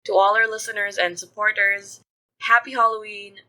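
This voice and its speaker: A woman's voice: medium pitch, steady tone, normal speed, pushed and bright, poor audio. A woman podcast producer reading scripted credits and announcements to listeners.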